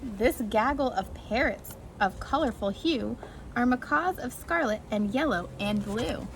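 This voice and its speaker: A woman's voice: fairly high pitch, lively intonation, a little slow. A woman reading a rhyming picture book aloud to preschoolers in a sing-song way, with brief asides. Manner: sing-song rhyming delivery addressed to young children. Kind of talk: read-aloud children's storytime with brief asides. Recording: clearly noisy amateur recording.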